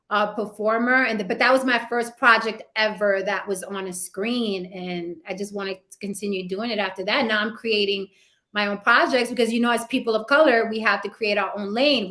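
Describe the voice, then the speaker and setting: A woman's voice: medium pitch, sharp tone. A woman actress being interviewed, talking casually and animatedly, often repeating words for emphasis and asking "right?". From Puerto Rican heritage. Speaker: actress and YouTuber guest.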